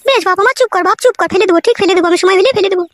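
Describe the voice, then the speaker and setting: A woman's voice: extremely high pitch, fairly bright tone, no room sound, clean studio sound. A woman voicing a harried, frightened village mother who pleads and coaxes. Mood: coaxing. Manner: fast, pleading and coaxing. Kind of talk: amateur cartoon voice acting.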